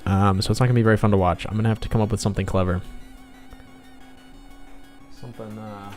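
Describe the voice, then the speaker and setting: A man's voice: lowish voice, fairly even intonation, fast talker, a little mellow. A man hosting a casual livestream as he draws, rambling to viewers and muttering asides to himself. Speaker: livestream host, game developer and artist. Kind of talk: casual livestream chat while drawing. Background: background music.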